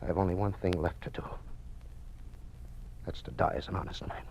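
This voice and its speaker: An actor playing a weary, principled older father and scholar in a theatrical old-time radio drama style, with a gravelly, husky voice. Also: sits low, fairly even intonation, brisk, in a whisper. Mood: regretful, resolute. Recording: muffled vintage radio recording.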